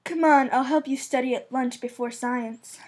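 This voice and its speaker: A young woman voicing a calm, studious teenage boy in a fan fiction reading, composed and matter-of-fact. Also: sits high, normal speed, heavy background noise, natural voice. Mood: patient.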